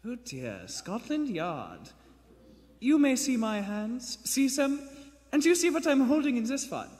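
Radio-play villain played by a man: elderly professor, deliberate, ominous, long hall reverb. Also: extremely high pitch, sing-song, darkish tone, heavily acted. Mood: menacing. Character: menacing, slow-spoken old professor with a bomb. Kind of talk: 1940s radio play acting. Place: theater stage in front of an audience. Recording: live theater stage, long reverb, music behind.

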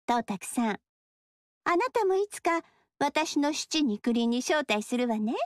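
Coquettish sadistic sorceress. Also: female voice, high-pitched, expressive, measured pace, no room sound. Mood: delighted. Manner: coquettish, teasing, sweetly menacing. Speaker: game character voice. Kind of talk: video game dialogue. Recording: dry studio recording.

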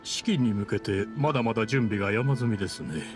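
A man's voice: lowish voice, brisk, music in the background, lightly acted. A man playing a deferential retainer of a noble clan in an anime game, speaking formally, humbly and politely. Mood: businesslike.

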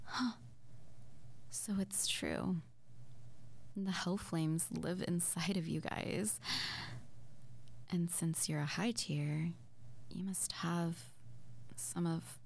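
Small flirty witch played by a woman, intimate roleplay audio. Lowish, creaky, breathy, stammering and awkward. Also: a little mellow, heavily acted. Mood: curious, excited. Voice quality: breathy, often dropping to near-whisper. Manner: hesitant, stammering, giggly.

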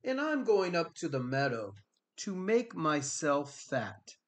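A man plays a middle-sized storybook billy goat. The voice is fairly soft and a bit high. It sounds mild and pleading. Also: big pitch swings, takes it slowly. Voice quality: not so small, fairly soft voice.

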